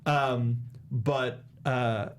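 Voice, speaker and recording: A young man co-hosting a casual movie discussion, speaking in a halting, emphatic way. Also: mid-range voice, steady tone. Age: young adult. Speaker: podcast co-host. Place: small indoor talk-show studio. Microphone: studio microphone with red windscreen, headset monitoring. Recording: clear background noise.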